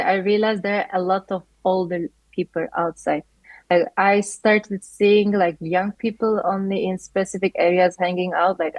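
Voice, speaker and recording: A young woman in her twenties from Turkey, a native Turkish speaker, talks through interview answers in a steady middle pitch. She speaks earnestly and leans on "like" as a filler. The recording is dry and close, with no room sound. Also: no room sound, low-quality recording. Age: 20s. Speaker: interviewed master's student.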